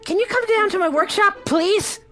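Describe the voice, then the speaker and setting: A man's voice: very high-pitched, moderate pace, pushed and bright, soft background music. A man voicing a panicked young cartoon fox boy, frantic and pleading, in a fan fiction reading. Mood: anxious. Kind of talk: fan fiction script read aloud.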